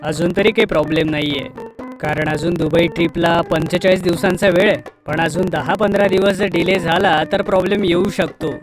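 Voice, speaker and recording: A woman voicing a calm, reassuring father who explains things in a measured way in a children's cartoon. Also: on the low side, voice pushed down, close to an everyday voice. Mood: reassuring, thoughtful. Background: music.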